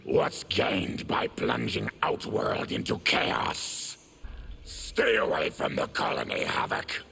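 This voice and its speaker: An actor playing a proud, bitter, monstrous warrior in a video game, speaking sternly and formally in a menacing growl. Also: male voice, big pitch swings, takes it slowly, bright, forceful tone, a little hiss or hum, exaggerated voice. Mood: stern defiance. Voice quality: deep gravelly growl. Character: afflicted monstrous warrior, proud, bitter former army tribune. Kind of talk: video game fight-intro dialogue.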